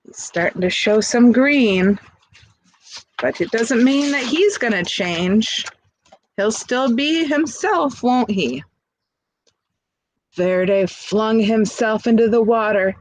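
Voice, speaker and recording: A woman reads a children's picture book aloud at a slow, easy pace. She is expressive and often steps out of the text to talk to her young listeners. The sound is dry, without room echo. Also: fairly high pitch. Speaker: storybook reader.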